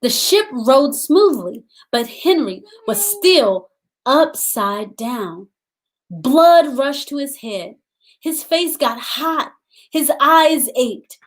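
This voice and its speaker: A woman hosting a children's storytime, reading aloud deliberately in short phrases and putting questions to her young listeners. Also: sits high, big pitch swings.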